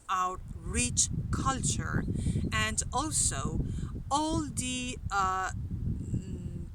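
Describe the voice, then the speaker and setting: A woman in her thirties with a Persian accent, talking to camera outdoors as a language teacher, unhurried and with hesitant fillers. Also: on the high side, very noisy. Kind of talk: casual spoken lesson to camera. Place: outdoors on a mountain hillside. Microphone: camera from a short distance. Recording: outdoor camera audio, a bit distant.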